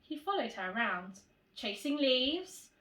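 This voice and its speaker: Roomy distant recording, woman, primary school teacher, lively swooping intonation, storytelling for young children. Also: high voice, a little slow, a little hiss or hum. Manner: expressive, addressing young children directly. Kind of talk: animated children's storybook reading. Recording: roomy echo, distant microphone.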